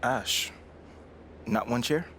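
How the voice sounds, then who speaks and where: A man's voice: mid-range voice, slow pace. A man playing a casual, slangy young basketball player in a conversational video game cutscene. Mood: dry. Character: young male basketball player, expressive, easily riled. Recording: noisy game audio with loud ambience.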